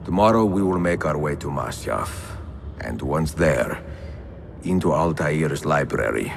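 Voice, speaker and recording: An actor playing a weary, reflective older Italian assassin in a game cutscene, speaking in a measured, solemn way with an Italian accent. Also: male voice, very deep voice, animated, creaky, a little hiss or hum, full character voice. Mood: tender, tired. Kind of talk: dramatic game cutscene dialogue and letter narration.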